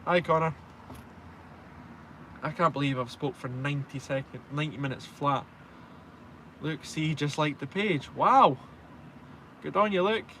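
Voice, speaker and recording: A man's voice: a little high, measured pace, pauses often, bright, forceful tone, a little hiss or hum. A man in his twenties with a Scottish accent, chatting casually and informally on a live stream and answering viewers with self-deprecating banter. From Scotland. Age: 20s. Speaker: truck driver and live stream host.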